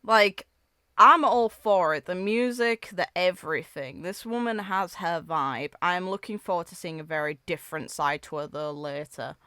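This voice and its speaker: A woman reacting to video games in a casual, conversational way. Her voice is smooth and a touch low, recorded dry. She muses aloud, weighing her thoughts as she talks. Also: normal speed, sharp tone, no room sound. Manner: musing, hedging, conversational. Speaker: video game reaction creator.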